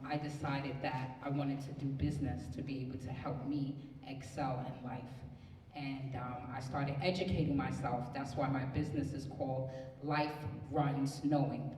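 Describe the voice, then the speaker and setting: A woman's voice: low-pitched, darkish tone. A woman from Harlem, introducing herself on a community panel in an earnest, motivational way. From Harlem, New York. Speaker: panelist, community health activist. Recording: echoey hall, distant mic, some noise.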